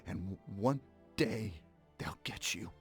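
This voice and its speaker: Dying mobster for a crime game: slow, creaky, bitter, halting, in pain, music underneath. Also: male voice, on the low side. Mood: bitter, resigned. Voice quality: gravelly, creaky. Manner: halting, broken phrases with gasps and repeats. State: in pain, labored breathing. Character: wounded, dying gangster, bitter former friend of the man he addresses. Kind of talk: dramatic video game voice acting.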